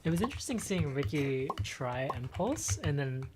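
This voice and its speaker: A woman with a notably low voice speaks in a dry, laid-back way during casual chat. A constant hiss sits behind her. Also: sing-song, normal speed.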